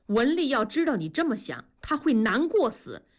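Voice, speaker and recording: A woman voicing a blunt, rough-talking middle-aged man, teasing and scolding his friend. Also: lowish voice, very animated, normal speed.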